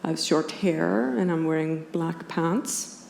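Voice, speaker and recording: This is a woman with an Icelandic accent, a native Icelandic speaker, presenting a philosophy talk. Her voice is mellow and fairly low, with a noticeable creak in it. She explains things in a measured, precise, partly scripted academic way. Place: indoor conference room with audience. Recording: roomy conference hall, clearly audible background noise.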